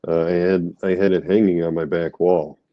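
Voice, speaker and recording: A man's voice: deep voice, steady tone, moderate pace, no room sound, highs cut off. A middle-aged man, an army veteran, congested from a cold, speaking candidly in an interview with many fillers and restarts.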